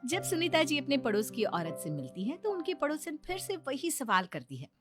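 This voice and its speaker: A woman narrating a cartoon story in a lively storytelling manner. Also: high voice, moderate pace, over music.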